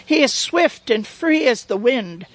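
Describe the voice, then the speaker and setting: A woman voicing an ancient, wise storybook turtle in a measured, solemn, reassuring manner. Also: a little high, lively intonation. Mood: solemn, tender. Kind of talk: storybook character reading.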